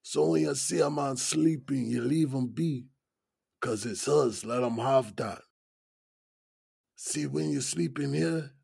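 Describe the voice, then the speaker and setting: An actor playing a weary, philosophical long-time inmate in an audio drama, gravelly and husky, musing unhurriedly in street slang. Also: male voice, a little high, a little mellow, exaggerated voice.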